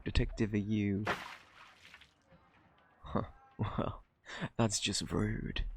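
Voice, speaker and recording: A man with a British accent acting out a flirty, cocky thief under interrogation in an ASMR roleplay, teasing and sarcastic in a husky, gravelly drawl. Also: low voice, heavily acted. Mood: sardonic, indignant. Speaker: audio roleplay performer.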